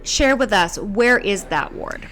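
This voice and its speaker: A woman's voice: medium pitch, lively intonation, slow pace, fairly bright tone. A woman with a Canadian accent co-hosting a podcast in a friendly, conversational style. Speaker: podcast co-host. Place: coffee shop.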